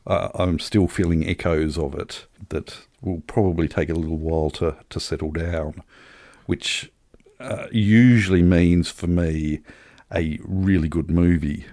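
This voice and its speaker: A man's voice: low voice, steady tone, unhurried, mellow, no room sound, studio quality. An Australian man co-hosting a podcast, chatting casually about film in a thoughtful, searching way.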